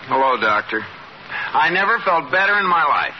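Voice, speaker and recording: An actor playing an impatient, exasperated husband with a sulky streak in a 1950s radio comedy. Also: male voice, fairly high pitch, big pitch swings, normal speed. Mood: sulky denial. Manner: emphatic, exasperated. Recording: noisy, dull old radio recording with audience.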